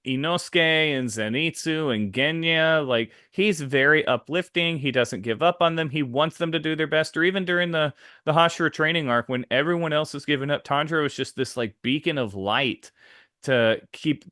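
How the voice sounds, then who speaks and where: A man's voice: on the high side, a bit bright, no room sound, clean studio sound. A man co-hosting a podcast in casual chat, talking with enthusiastic emphasis. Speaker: podcast co-host.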